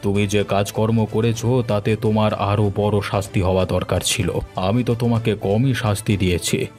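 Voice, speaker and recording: A man playing a stern, commanding village gentleman who passes judgement in a cartoon. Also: fairly low pitch, steady tone, normal speed, soft background music, close to an everyday voice. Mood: stern, threatening. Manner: stern, admonishing. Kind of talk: cartoon voice acting in Bengali.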